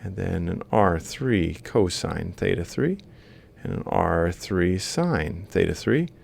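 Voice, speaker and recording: Male lecturer, low register with heavy vocal fry, informal step-by-step walkthrough. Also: lively intonation, slow pace, darkish tone, slight background noise. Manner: explains step by step while writing. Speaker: lecturer in robotics. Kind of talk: informal worked-example lecture.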